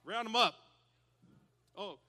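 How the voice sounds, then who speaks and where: Energetic preacher man, fairly high voice. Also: slow pace. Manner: energetic, bursts into loud cowboy shouts. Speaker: church speaker addressing youth and parents. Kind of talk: lively hands-on sermon with props.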